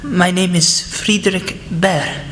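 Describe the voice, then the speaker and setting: A teenage woman student playing a gentle, earnest male professor in a radio play, speaking politely and hesitantly. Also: on the low side, fairly even intonation, slow. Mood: gentle, thoughtful. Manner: hesitant, polite, formal phrasing. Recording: echoey hall.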